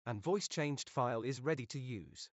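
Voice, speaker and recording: A man narrating step-by-step software instructions as a tutorial presenter. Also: medium pitch, moderate pace, no room sound, dull audio. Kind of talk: instructional screen-recording narration.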